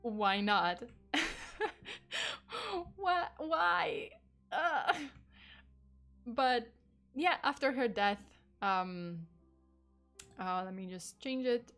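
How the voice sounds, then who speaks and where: A woman's voice: medium pitch, lively intonation, extremely slow, pauses often, fairly bright tone, clear, resonant tone, soft background music. A young woman with a Polish accent, her first language Polish, chatting casually on a true crime stream with many fillers, laughs and swearing. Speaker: vtuber streamer.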